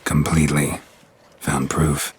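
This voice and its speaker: Game monster hunter: extremely low, flat, heavy rasp, terse and stoic. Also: male voice, slow pace, slight background noise. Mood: grave. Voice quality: gravelly, husky. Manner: terse, deadpan, clipped sentences. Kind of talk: video game voice acting.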